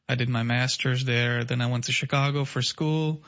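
A man's voice: mid-range voice, fairly even intonation, moderate pace, fairly bright tone, no echo, highs cut off. A man from Pakistan, a podiatrist answering interview questions politely and plainly. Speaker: podiatrist guest. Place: radio studio.

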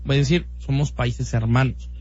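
A man's voice: mid-range voice, normal speed, a little mellow. A man from Mexico with a Mexican accent, a radio guest making an emphatic, opinionated case full of rhetorical questions. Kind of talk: casual radio talk. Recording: dull lo-fi radio sound with music.

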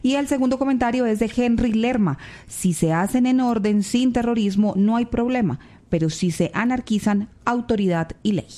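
Mellow woman reading on radio. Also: a little high, normal speed. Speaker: radio panelist reading listener comments. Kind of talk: measured on-air reading.

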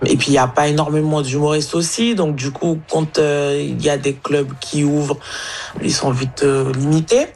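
A woman's voice: sits low, steady tone, moderate pace, darkish tone, slight background noise. A woman comedian from French Guiana answering interview questions, thoughtful and hesitant.

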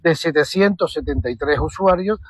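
Halting higher-voiced male councillor. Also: husky, dry recording, clean studio sound. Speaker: town councillor. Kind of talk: prepared public statement.

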